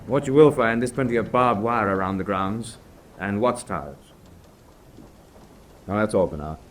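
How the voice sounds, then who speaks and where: An actor playing a senior officer in a radio drama, dry and authoritative, with a clipped, formal manner of briefing. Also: male voice, on the low side, moderate pace, a little hiss or hum, natural voice. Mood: brisk, authoritative. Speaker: senior prisoner-of-war officer.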